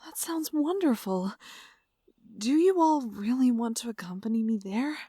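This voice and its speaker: Actress as polite young noblewoman: fairly high, slow-paced, gentle fantasy drama role. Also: lively intonation, slightly rough, no echo, studio quality. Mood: gentle, concerned. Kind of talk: audio drama acting.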